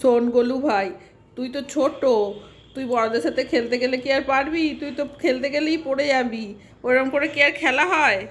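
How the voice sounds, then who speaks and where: A woman's voice: high voice, a little fast, pitched above the performer's own voice, lightly acted. A woman voicing a bossy, teasing older child who leads a game in a doll-play story. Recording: faint background noise.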